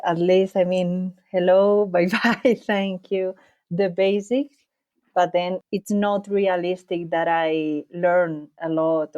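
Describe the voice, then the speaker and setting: Mellow-voiced woman. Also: on the low side. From Spain. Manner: thoughtful, slightly halting non-native English. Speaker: podcast guest, bike traveller. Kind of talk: casual interview answers.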